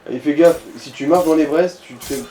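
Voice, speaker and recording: A man's voice: on the high side, brisk, mellow. A man giving casual, crude-tongued co-commentary and advice during a video game let's play. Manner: informal, crude slang. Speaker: co-commentator advising the player. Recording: roomy echo, background noise.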